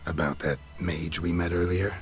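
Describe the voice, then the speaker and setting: An actor playing a gruff, stoic monster hunter in a video game, terse and deadpan. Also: male voice, sits low, normal speed, soft background music, natural voice. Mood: curious, dry. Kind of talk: video game dialogue acting.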